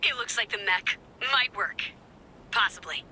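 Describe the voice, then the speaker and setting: An actress playing an upbeat, quirky young resistance mechanic in a video game, talking in a chatty, eager, slightly hesitant way. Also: female voice, mid-range voice, expressive, a little slow, bright, forceful tone, slight background noise, poor audio. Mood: chatty, unsure. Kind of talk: video game mission dialogue.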